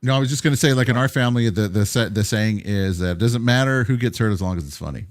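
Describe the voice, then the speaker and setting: An easygoing man co-hosts a podcast, agreeable and curious as he asks his guest questions. His voice sits a little low. The sound is dry and close to the mic. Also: quick pace, dry recording. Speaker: co-host. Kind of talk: casual podcast chat.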